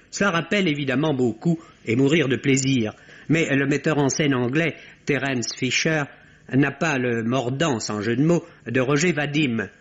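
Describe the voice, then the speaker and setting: Dull band-limited vintage radio sound, man, film critic, wry literary delivery, scripted review. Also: mid-range voice.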